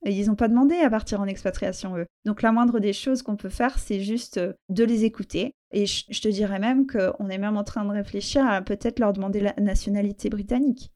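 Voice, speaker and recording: A middle-aged French woman talks through a personal conversation, her voice mellow and soft-edged. She reflects as she goes, pausing on fillers and starting her sentences again. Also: medium pitch. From France. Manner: reflective, halting with fillers and restarts. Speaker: interview guest.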